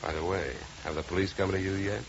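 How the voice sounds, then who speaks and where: A man's voice: low-pitched, steady tone, fast talker, noisy background. An American man playing a sardonic, wisecracking insurance investigator in a 1950s radio detective drama. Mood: dry, probing. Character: wry, hard-boiled male insurance investigator. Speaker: lead actor and narrator. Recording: muffled old radio recording, music behind.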